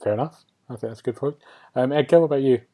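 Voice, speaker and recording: A man's voice: medium pitch, very fast, dark tone. A man hosting a casual gaming podcast, chatting and putting questions in an informal way. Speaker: podcast host.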